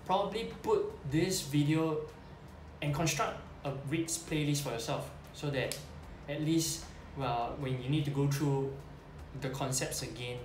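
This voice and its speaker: A man's voice: on the high side, a little slow, slight background noise. A man in his forties with a Singaporean English accent, talking casually to camera in a small room, hesitant with many "uh" fillers and a questioning "right?". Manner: gestures with hands while talking. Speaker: investing YouTuber. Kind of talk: casual talking-head explainer. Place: small plain room indoors. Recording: echoey room.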